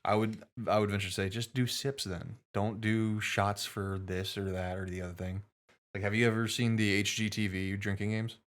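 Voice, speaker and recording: Lower-voiced man, chatty and profane. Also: little pitch movement, normal speed. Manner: chatty and profane, with filler likes and repeats. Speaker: podcast co-host. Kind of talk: casual podcast chat.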